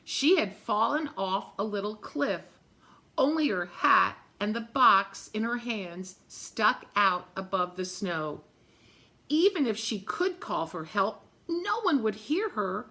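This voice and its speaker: Female storyteller for a kids' read-aloud: medium pitch, bright voice, expressive delivery, talks directly to the children. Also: slow. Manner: expressive, dramatic, addresses young listeners directly. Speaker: story-time reader for children.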